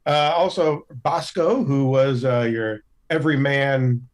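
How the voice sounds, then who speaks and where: Mid-pitched man chatting in halting phrases. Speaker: podcast cast member. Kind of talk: casual podcast chat.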